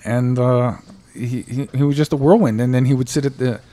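Podcast co-host, male, warm mellow tone, animated storyteller who laughs a lot. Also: mid-range voice, fairly quick. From United States. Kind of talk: casual chat.

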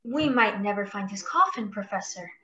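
A woman's voice: mid-range voice, lively intonation, moderate pace, bright, forceful tone, highs cut off. A woman playing an anxious, earnest young lady in a radio play. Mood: worried. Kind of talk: stage-style radio play acting.

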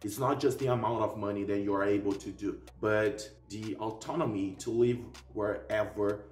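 A man's voice: on the low side, steady tone, moderate pace, a little mellow. Echoey young man with a Portuguese accent. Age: young adult. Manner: emphatic, often tags sentences with "okay?". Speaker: YouTube host. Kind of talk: casual explainer vlog. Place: living room at home, indoors. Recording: roomy echo, distant mic, faint music.

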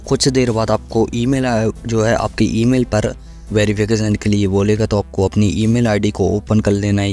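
A man's voice: lowish voice, darkish tone. A man giving a casual step-by-step tutorial, instructing and repeating each step. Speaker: tech tutorial host. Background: music.

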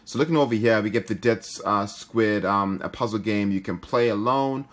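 Low-pitched man giving casual gaming commentary. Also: moderate pace. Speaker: gaming video host. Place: indoor room, filming a handheld phone.

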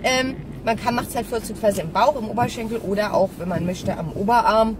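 A woman's voice: mid-range voice, a little fast. A woman, chatting casually and candidly to camera, rambling as she thinks aloud. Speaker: vlogger. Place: inside a car. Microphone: dashboard-mounted camera or phone. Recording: noisy car interior, some distance from mic.

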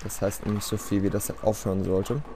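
A man's voice: fairly low pitch, level tone, fairly quick, a crowd in the background, background noise. A man giving casual, self-deprecating gameplay commentary in a resigned, rambling way. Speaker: let's play commentator. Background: game music.

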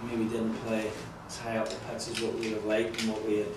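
A man's voice: fairly low pitch, completely flat delivery, fast. A man gives a post-match football interview. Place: echoey indoor press conference room. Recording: very echoey room, distant mic, clear noise.

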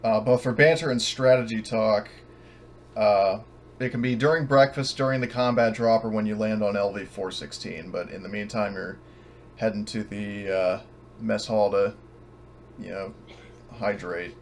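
A man with a fairly low, creaky voice acts as game master in a casual online session. He talks haltingly and hesitantly, with faint noise behind him. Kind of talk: casual tabletop game hosting.

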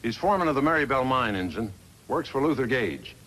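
An actor playing a plain-spoken, wary frontier blacksmith in a 1950s radio western, with a folksy manner. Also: male voice, mid-range voice, very animated, quick pace, a bit bright. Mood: amazed, helpful. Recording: noisy vintage 1950s radio recording.

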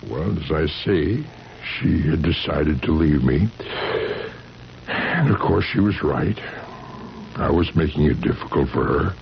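An actor playing a weary, distressed seventy-year-old husband in a 1970s radio drama, halting and repeating himself with quiet insistence. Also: male voice, extremely low pitch, animated, creaky, exaggerated voice. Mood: weary, resigned. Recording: dull, noisy vintage radio recording.

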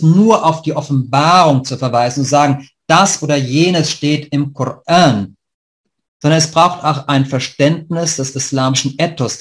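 A man giving a prepared online lecture, reading in a measured, formal way over a webcam. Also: a little high. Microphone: webcam on a video call.

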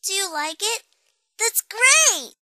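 Very high-pitched sweet little schoolboy. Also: female voice, very animated, slow, pushed and bright, no room sound, clean studio sound, full character voice. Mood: delighted. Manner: cutesy, childlike address with pet names. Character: sweet, childlike small schoolboy, cheerful and caring. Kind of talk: fan manga dub acting.

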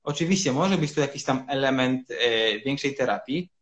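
A man in his thirties, a urologist guest, explaining treatments in measured sentences with frequent hesitations over a video call from a room at home. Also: medium pitch, expressive, fairly bright tone. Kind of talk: explanatory interview answers.

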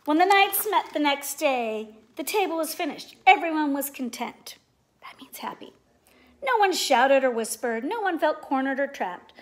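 A middle-aged woman reading a children's storybook aloud in an expressive, deliberate way. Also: sits high. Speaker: storybook reader. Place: indoors, living room at home.

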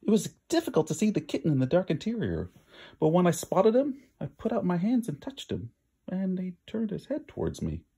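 A man reads a picture book aloud with lots of drama. His pitch moves around freely over a creaky, slightly rough voice, and he lingers on key words for emphasis. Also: huge pitch swings, mellow. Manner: theatrical, drawn-out emphasis. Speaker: storybook reader.

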